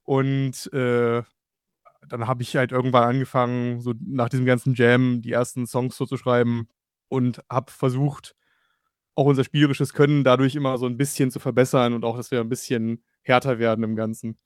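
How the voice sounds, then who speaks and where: A man's voice: mid-range voice, level tone, moderate pace, clean tone, no echo, clean studio sound. A man, the guitarist and songwriter of a band, answering interview questions casually with frequent fillers.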